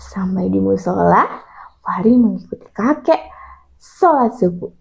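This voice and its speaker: A woman's voice: mid-range voice, lively intonation, unhurried, gravelly. A woman telling a story for young children, often addressing her listeners directly with questions.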